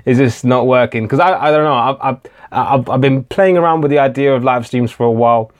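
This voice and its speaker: A man's voice: medium pitch, fairly quick. Chatty man hosting a live stream. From England. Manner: chatty, hesitant, many fillers and repeated starts; reads viewer comments aloud and answers them. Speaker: tech channel host.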